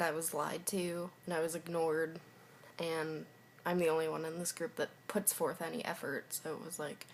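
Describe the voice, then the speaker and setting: A young woman recording a personal video diary, talking frankly in a halting, hesitant way and sometimes dropping to a whisper. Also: fairly low pitch, a little hiss or hum. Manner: frank and confessional.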